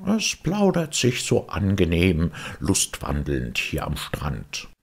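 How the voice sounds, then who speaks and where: A man voicing a sly, falsely sentimental walrus in a storybook, with a gentle, coaxing manner. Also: low voice, huge pitch swings, slow, vocal fry, slightly rough, full character voice.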